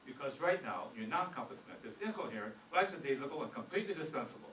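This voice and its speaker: A man's voice: medium pitch, lively intonation, fast, a bit bright, lightly acted. A man playing a scornful, wisecracking, blustery office boss in an old-time radio comedy. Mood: stern, scornful. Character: exasperated, sarcastic male law-office boss. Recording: roomy, distant stage recording with faint noise.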